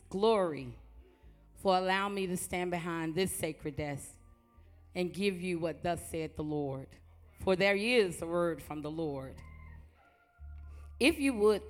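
Strong-voiced woman preaching slowly. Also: lowish voice. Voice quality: strong, loud voice. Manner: fervent, call-and-response preaching cadence. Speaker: guest preacher. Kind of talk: church sermon and prayer. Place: church sanctuary before a congregation.

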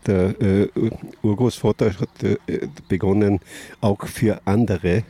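An older man from Austria with an Austrian accent, reminiscing in an interview and haltingly searching for words. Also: on the low side, steady tone, dark tone, husky. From Austria (Carinthia). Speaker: interview guest, boat rental operator. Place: lakeshore, outdoors.

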